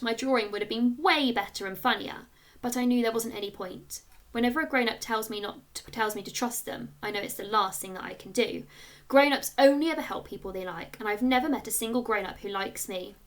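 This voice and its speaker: A woman reading a children's story aloud like a schoolteacher, with expressive narration and clear storytelling emphasis. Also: a little high, brisk.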